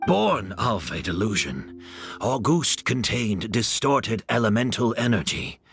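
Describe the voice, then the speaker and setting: An actor playing a weary conspirator in a video game, confessing gravely with heavy, halting delivery. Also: male voice, fairly low pitch, sing-song, full character voice. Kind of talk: dramatic video game voice acting. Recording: game dialogue over background music.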